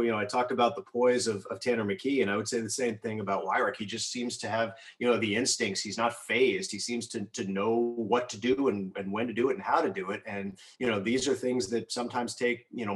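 A man's voice: medium pitch, steady tone, a little fast, hardly any pauses, husky. An American man who works as a radio sports announcer, giving conversational analysis in an emphatic, discursive way, thinking aloud as he goes.

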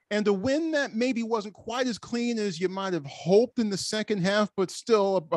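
A man with an American accent hosts a sports interview show. His voice sits a little high, and he speaks in long, emphatic phrases. The sound is dry and close. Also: no echo. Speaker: sports podcast host.

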